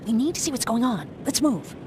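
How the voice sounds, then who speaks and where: A woman's voice: a little high, very animated, fairly quick, background noise. A woman voicing a streetwise, wry and wary young scavenger in a game. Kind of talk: game voice acting, companion dialogue.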